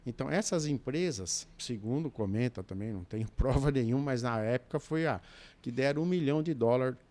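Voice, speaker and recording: A man's voice: medium pitch, a little fast, a little mellow. A Brazilian man, a podcast guest who tells his work history in loose, rambling sentences full of restarts and asides. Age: 60s. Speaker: podcast guest, businessman and dispatcher. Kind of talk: relaxed storytelling in a chat.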